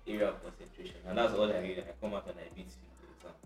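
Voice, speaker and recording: A young man hosts a relaxed podcast chat, stumbling over his words and rising high when he gets worked up. He sits a little back from the microphone in a room with a noticeable echo. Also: racing, far from the mic. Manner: laughs, restarts and jumps up in pitch when excited. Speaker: podcast host. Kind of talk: casual chat. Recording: echoey room, set back from the mic.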